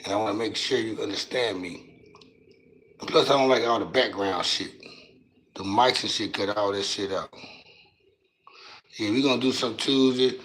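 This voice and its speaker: A raspy-voiced man, hosting a casual livestream and talking loose and profane into a phone mic from his couch at home. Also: lowish voice, moderate pace, pauses often, a bit bright, a little hiss or hum, low-quality recording. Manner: loose, profane, drawling street talk. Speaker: livestream host.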